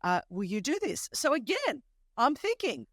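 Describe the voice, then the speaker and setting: A woman in her fifties with an Australian accent whose first language is Greek, telling a lively, humorous personal story as a podcast host. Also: sits high, moderate pace, dry recording, studio quality. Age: 50s. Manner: animated, emphatic, humorous storyteller. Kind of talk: conversational testimony storytelling.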